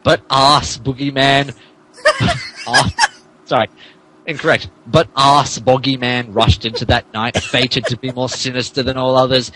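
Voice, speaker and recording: Theatrical man narrating, mid-pitched and stumbling. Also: very animated, a little slow, sharp tone, slight background noise, low-quality recording. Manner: theatrical, stumbling over misspelled text. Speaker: podcast host reading as narrator. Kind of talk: comic dramatic reading of fan fiction.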